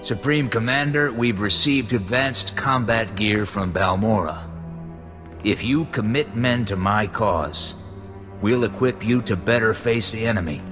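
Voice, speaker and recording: A hard-bitten, vengeful male colonel from a video game, voiced by an actor. His lowish voice delivers blunt, forceful phrases in a reverberant hall with music behind. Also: a little slow, natural voice. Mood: firm. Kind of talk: video game cutscene dialogue.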